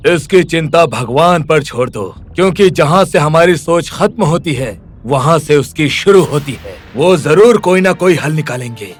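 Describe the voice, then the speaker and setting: A man voicing a worried, pious lion king in an animated moral story, with earnest, dramatic delivery. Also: fairly high pitch, measured pace, hardly any pauses, breathy edge. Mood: reassuring. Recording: music under the voice.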